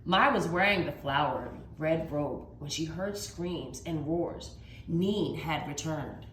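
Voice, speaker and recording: A woman reading a children's storybook aloud as a teacher, with dramatic, emphatic expression. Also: sits low. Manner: dramatic, with emphatic drawn-out words. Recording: roomy echo, faint background noise.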